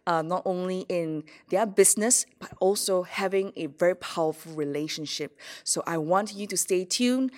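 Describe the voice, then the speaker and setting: A woman hosting a talk show, introducing and questioning her guest in an upbeat, promotional manner. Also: on the low side. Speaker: host.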